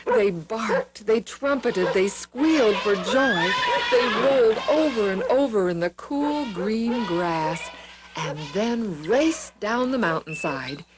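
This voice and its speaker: A woman narrating children's Bible stories in an expressive, deliberate storybook style with dramatic emphasis on words. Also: medium pitch, heavy background noise.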